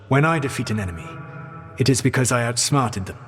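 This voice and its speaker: A man playing an ancient elven trickster god for a video game, proud, brooding and regretful, with measured, formal, eloquent diction and a husky voice. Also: medium pitch, animated, a bit bright, faint music behind. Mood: brooding, disdainful. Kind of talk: video game dialogue acting. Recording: long hall-like reverb.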